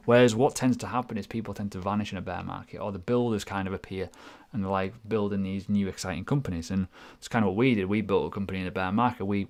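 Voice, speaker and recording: A man hosting a casual live question-and-answer stream, chatty and self-deprecating, rambling through asides. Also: lowish voice. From United Kingdom. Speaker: crypto livestream host.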